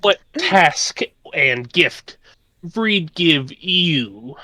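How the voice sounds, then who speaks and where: A man voicing a pompous, blunt tabletop game character who talks in terse, halting broken sentences. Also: fairly high pitch, expressive, bright, forceful tone, no echo, heavily acted. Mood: pompous, curt. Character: pompous, blunt character speaking broken third-person English.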